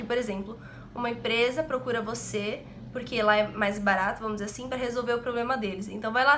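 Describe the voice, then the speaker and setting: A woman's voice: a little high, fairly bright tone. A seventeen-year-old woman from Brazil, chatting casually to camera in her bedroom, nasal from a cold and self-deprecating. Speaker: vlogger.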